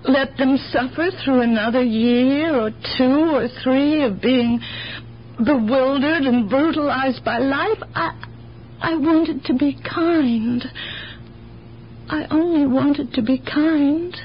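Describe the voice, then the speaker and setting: Slow, plaintive older aunt. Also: female voice, high-pitched. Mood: anguished pleading. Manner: slow, halting, plaintive delivery. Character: gentle, sorrowful older aunt, self-justifying and earnest. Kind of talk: radio drama acting. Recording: muffled, hissy old radio broadcast.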